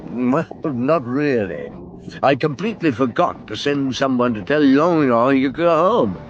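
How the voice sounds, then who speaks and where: A middle-aged actor playing a seasoned chief police inspector in a radio drama, steady and commanding with dry humour. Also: male voice, mid-range voice, measured pace, dull audio, noisy background, natural voice. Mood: reminiscing, wry. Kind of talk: 1960s-70s radio drama acting.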